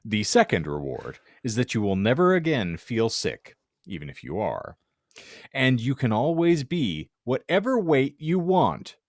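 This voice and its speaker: A man's voice: mid-range voice, dry recording. A man co-hosting a podcast with dry, teasing banter in a casual style. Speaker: podcast co-host. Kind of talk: casual banter and reading aloud a hypothetical.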